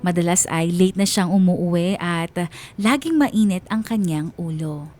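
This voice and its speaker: A woman's voice: fairly low pitch, measured pace, darkish tone, natural voice. An actress playing a devoted, wistful wife of thirty-four who narrates her own story in a radio drama. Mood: wistful, troubled. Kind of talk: dramatized first-person letter narration. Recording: music bed behind the voice.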